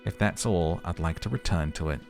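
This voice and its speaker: A man's voice: low-pitched, fairly quick, gravelly, faint music behind. A man voicing a curt, dismissive cafeteria manager in a video game. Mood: irritated. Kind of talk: role-played video game dialogue.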